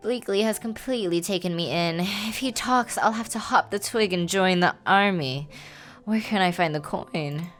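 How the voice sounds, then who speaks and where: A woman voicing a cocky, roguish young Regency gentleman gambler in slangy period speech, for a game. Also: medium pitch, moderate pace, soft background music, lightly acted. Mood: worried.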